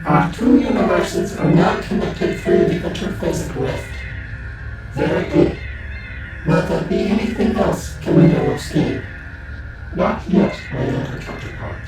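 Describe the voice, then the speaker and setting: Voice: mid-range voice, expressive, a little slow. An actor playing a sinister alien commander in a sci-fi audio drama, with an otherworldly echoing effect. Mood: cold, scheming. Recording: heavily echoed, distant, music underneath.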